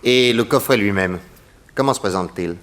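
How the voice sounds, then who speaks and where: A self-assured male private detective, played by an actor in a 1960s French radio drama. His voice sits fairly low, and he is courteous and brisk, with an inquisitive edge. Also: a bit bright, lightly acted. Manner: polite, inquisitive.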